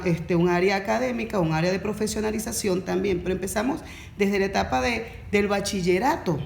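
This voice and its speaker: A woman, a course facilitator explaining on a podcast in a measured way that restates her points. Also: medium pitch, gravelly, a little mellow, roomy sound, slight background noise.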